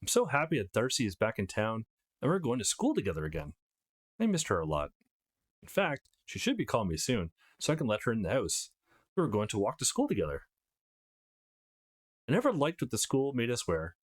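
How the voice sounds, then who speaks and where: A man's voice: mid-range voice, huge pitch swings, fairly quick, long pauses. A man reading the part of a cocky but easygoing eighteen-year-old schoolboy in a visual novel, conversational and self-assured. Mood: musing, cocky.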